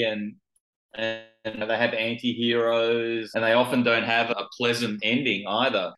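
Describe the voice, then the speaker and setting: Australian male author, mid-range pitch, thoughtful with restarts, reverberant room. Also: a bit bright. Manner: thoughtful, with frequent restarts and repeated words. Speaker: author guest. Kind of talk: podcast interview answers.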